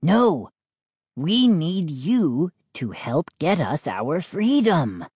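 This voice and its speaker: A woman voicing a small male mischief gnome in a children's audiobook, raspy, solemn and pleading. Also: mid-range voice, big pitch swings, slow pace, a little mellow, no echo, clean studio sound, pitched above the performer's own voice, exaggerated voice. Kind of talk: audiobook character voice.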